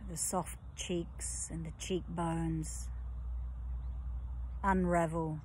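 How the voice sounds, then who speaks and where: A woman yoga teacher guiding a relaxation session in a slow, soft, soothing voice with long pauses, at times breathy and close to a whisper. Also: low-pitched, level tone, a little hiss or hum, low-quality recording. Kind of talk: guided yoga nidra relaxation.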